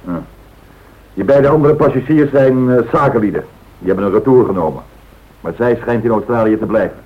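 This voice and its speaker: A man's voice: mid-range voice, brisk, breathy edge, natural voice. An actor playing an authoritative male airline director in a radio drama, firm and businesslike. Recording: thin, phone-like old radio recording.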